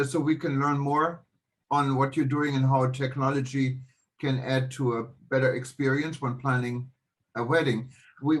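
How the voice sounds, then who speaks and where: A man's voice: on the high side, low-quality recording. A man with a German accent hosting an online panel, friendly and a bit rambling, with many restarts. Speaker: panel host.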